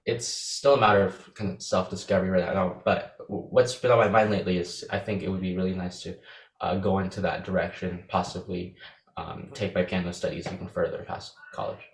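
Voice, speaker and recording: Young Filipino man, interview guest, low-pitched, hesitant answers in an echoey room. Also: steady tone, brisk, slight background noise. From Philippines. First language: Visayan. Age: young adult. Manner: hesitant, many fillers and restarts.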